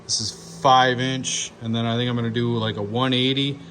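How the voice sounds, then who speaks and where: A man's voice: medium pitch, level tone, normal speed, fairly bright tone. A man giving a casual, plainspoken hands-on walkthrough as a car builder, thinking aloud in an informal way. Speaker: car builder hosting a fabrication video. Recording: noisy handheld shop video, ambient noise.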